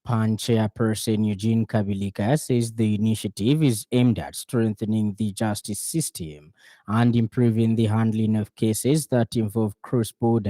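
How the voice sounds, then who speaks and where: A man with a Zambian accent reading a scripted radio news bulletin in a formal, measured way. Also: on the low side, mellow, no room sound.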